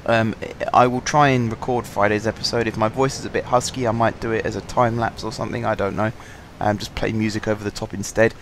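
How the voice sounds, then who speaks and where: A man with a sore throat, slightly husky, casually commenting on a game through a headset, rambling and apologetic with many ums. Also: lowish voice, flat delivery, quick pace, few pauses, a little hiss or hum. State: sore throat, fighting coughs. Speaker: gaming channel host. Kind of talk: casual let's-play commentary.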